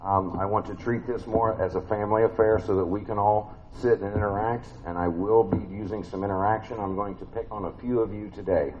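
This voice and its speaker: A man's voice: fairly low pitch, steady tone, fairly quick, few pauses, a little mellow, muffled recording, a little hiss or hum. A man with a big voice preaching a family sermon in a church, conversational and folksy, with many fillers and restarts.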